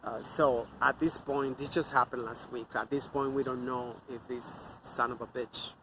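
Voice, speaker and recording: A man's voice: mid-range voice, fairly even intonation, moderate pace, background noise. Angry man ranting outdoors. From Mexico. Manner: angry, profane, scornful. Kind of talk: off-the-cuff ranting monologue.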